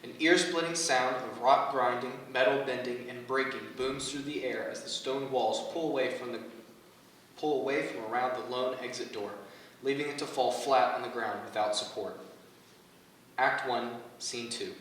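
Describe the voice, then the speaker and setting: A man's voice: mid-range voice, moderate pace, fairly bright tone. A man reading stage directions as scripted narration for a staged play reading. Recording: very echoey room, distant mic, faint noise.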